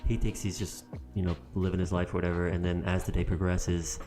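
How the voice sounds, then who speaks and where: A man's voice: deep voice, level tone, brisk, over music. A man co-hosting a podcast, chatting casually and rambling with lots of ums as he searches for words. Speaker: podcast co-host. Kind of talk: casual chat.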